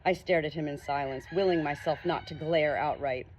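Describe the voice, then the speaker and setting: A woman's voice: sits low, fairly even intonation, normal speed, darkish tone, a little hiss or hum. A woman narrating an audiobook novel dramatically in the first person.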